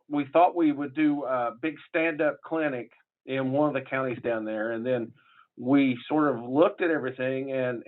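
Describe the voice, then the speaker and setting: A man with a southern Illinois accent giving a conference presentation in a folksy, rambling way, with many fillers and restarts. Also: medium pitch. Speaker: public health association presenter. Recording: tinny phone-like audio.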